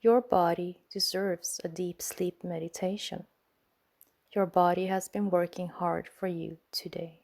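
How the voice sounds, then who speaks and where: Female meditation guide, gentle and soothing, lowish pitch with a creaky edge, for bedtime relaxation narration. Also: steady tone. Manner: soothing, gentle, unhurried, pausing between short phrases.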